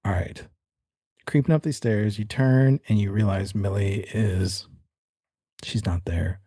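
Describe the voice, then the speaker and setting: Low-voiced man narrating a horror game. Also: measured pace, mellow, husky, dry recording, clean studio sound. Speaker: game master and show host.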